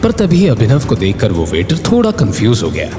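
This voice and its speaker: A man's voice: on the low side, huge pitch swings, moderate pace, mellow. A man narrating a scripted drama story with suspenseful, emphatic delivery. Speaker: narrator of an audio drama series. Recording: roomy long reverb, music bed.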